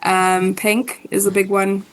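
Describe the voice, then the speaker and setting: A woman's voice: medium pitch, level tone, takes it slowly, rough recording. A woman in her forties with a South African accent, chatting easily as a new podcast co-host. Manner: chatty, laughs easily, many ums. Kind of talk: casual podcast chat.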